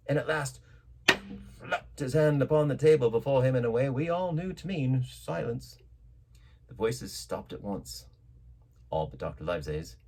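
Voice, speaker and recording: Man, dramatic storybook narration, lively swooping pitch, slightly rough husky tone, theatrical emphasis. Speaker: storyteller reading a novel aloud.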